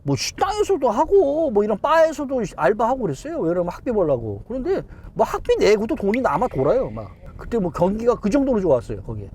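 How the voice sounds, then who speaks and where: High-voiced easygoing man in his fifties. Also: huge pitch swings, fairly quick, hardly any pauses, darkish tone, slight background noise. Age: 50s. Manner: easygoing, chuckles often. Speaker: taxi driver, interviewee. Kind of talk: relaxed interview answers.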